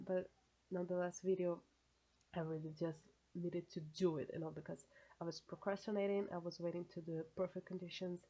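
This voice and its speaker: Brazilian woman with a gravelly, low voice. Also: dark tone, highs cut off. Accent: Brazilian Portuguese. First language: Portuguese. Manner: chatty, many fillers, self-correcting phrasing. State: stuffed nose from a cold. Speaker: reaction video creator. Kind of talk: casual solo commentary.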